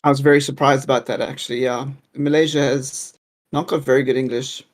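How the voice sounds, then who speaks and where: A man's voice: mid-range voice, level tone, a little fast. A man chatting casually with his raid group over a voice call, musing and easygoing. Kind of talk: casual voice chat while gaming.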